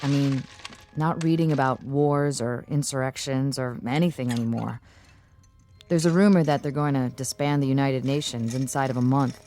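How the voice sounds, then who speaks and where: A woman's voice: very deep voice, steady tone, moderate pace, dark tone, faint music behind. An actress playing a casual, wry female office assistant in a radio drama, speaking in a conversational, musing way.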